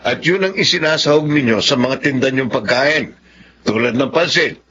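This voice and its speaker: An actor playing a stern Filipino police officer, formal and admonishing in radio drama style. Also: male voice, medium pitch, normal speed, pushed and bright, slightly rough. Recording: dull, faintly noisy track.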